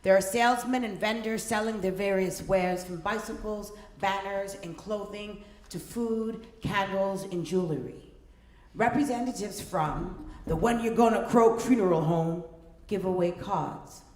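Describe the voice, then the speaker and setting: A woman narrating a scripted story in a measured, deliberate manner. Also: medium pitch, darkish tone. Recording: roomy live performance with music. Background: percussion music.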